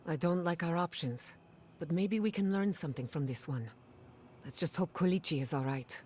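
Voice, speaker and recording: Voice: medium pitch, normal speed, a little mellow, a little hiss or hum, close to an everyday voice. An actor playing a wry, conflicted adventuring companion in a fantasy game, speaking frankly. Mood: resigned. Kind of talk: fantasy game cutscene acting.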